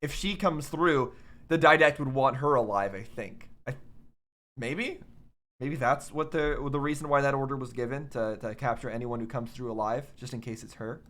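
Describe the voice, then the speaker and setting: A man's voice: mid-range voice, moderate pace, a bit bright, slight background noise. A man giving casual reaction commentary on game cutscenes, musing and thinking aloud as he goes. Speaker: reaction video host.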